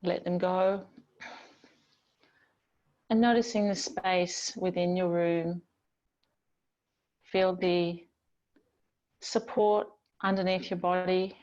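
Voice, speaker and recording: A woman teaching yoga, guiding relaxation in a gentle, soothing, unhurried manner with long pauses between phrases. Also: lowish voice, fairly even intonation, lo-fi sound. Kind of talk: guided yoga and relaxation instruction.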